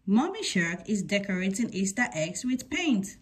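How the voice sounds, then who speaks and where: A woman's voice: mid-range voice, big pitch swings, normal speed, slightly rough. A woman reading a children's picture book aloud, breaking into sing-song refrains. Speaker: read-aloud story presenter.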